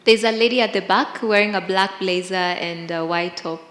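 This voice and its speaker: A woman with a medium-pitched voice moderates a live panel, directing who speaks next. The sound is heavily echoed, with the long tail of a big hall. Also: normal speed, a bit bright. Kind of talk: directing a live question session. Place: large conference hall, public address.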